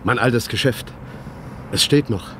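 An actor playing a wistful, timid shopkeeper riding in a car in a 1960s radio drama. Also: male voice, mid-range voice, unhurried, lightly acted. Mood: wistful, pleading. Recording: loud car and street noise.

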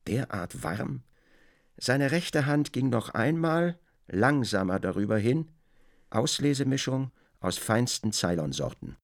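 Expressive male narrator with a creaky edge. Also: mid-range voice, takes it slowly. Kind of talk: literary narration in a radio drama.

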